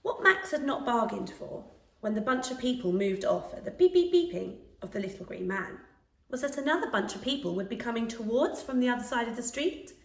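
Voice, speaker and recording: A woman reading a children's story aloud as an expressive narrator. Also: on the high side, brisk, vocal fry. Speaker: storybook reader. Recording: echoey room, distant microphone.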